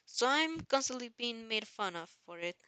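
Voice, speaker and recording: A woman's voice: on the high side, lively intonation, moderate pace, pushed and bright, smooth, no echo. A woman voicing an earnest, anxious teenage boy who idolizes heroes, timid and pleading in a fan-fiction reading. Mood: sad.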